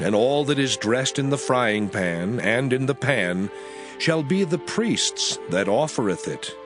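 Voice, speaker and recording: A man playing God proclaiming sacred laws in a dramatized scripture reading, solemn, deliberate and declamatory. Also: medium pitch, breathy edge. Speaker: scripture voice actor. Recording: music under the voice. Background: background music.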